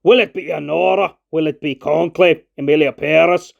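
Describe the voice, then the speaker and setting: A man's voice: on the high side, fairly even intonation, moderate pace, gravelly, muffled recording. A man narrating a scripted film review as a video essay, argumentative and opinionated, in formal, slightly unidiomatic phrasing.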